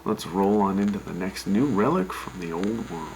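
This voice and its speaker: A man's voice: low-pitched, lively intonation, moderate pace, creaky, heavy background noise. A man playing a wry, exasperated wasteland radio host, with a dry, folksy manner in scripted banter.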